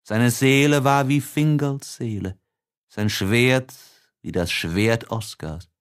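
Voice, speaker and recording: A man's voice: medium pitch, takes it slowly. A man narrating classic literature as an audiobook in a solemn, elegiac and declamatory way.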